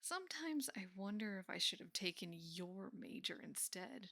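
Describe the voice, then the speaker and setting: A young woman playing a teasing, affectionate college best friend in an intimate roleplay audio, speaking softly and often slipping into whispers. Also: mid-range voice, sing-song, normal speed, creaky, no echo, clean studio sound, heavily acted. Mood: wistful, playful. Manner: soft, often dropping into whispers, sighing. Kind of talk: intimate roleplay audio acting, casual and soft.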